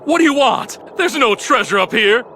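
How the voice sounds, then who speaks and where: An actor playing an excitable, jumpy pirate-radio DJ who talks in a showy, theatrical announcer style. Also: male voice, extremely high pitch, normal speed, a little hiss or hum, exaggerated voice. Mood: panicked. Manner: animated, chatty, showy. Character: excitable, jumpy male pirate-radio DJ living alone on a moon base. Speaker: radio DJ and narrator.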